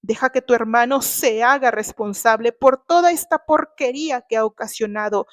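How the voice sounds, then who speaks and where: A woman's voice: on the high side, normal speed, no room sound, clean studio sound, close to an everyday voice. A woman voicing a stern, protective father who is dying, weakening and urgent, in a dramatic audio novel. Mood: desperate, urgent. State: weakening, struggling for breath.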